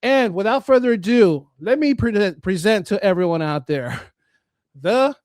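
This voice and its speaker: A man's voice: high-pitched, expressive, a little slow, a little mellow, dry recording. Earnest male interview host, dry close sound. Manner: earnest, restarts mid-sentence. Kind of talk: interview and sponsor talk.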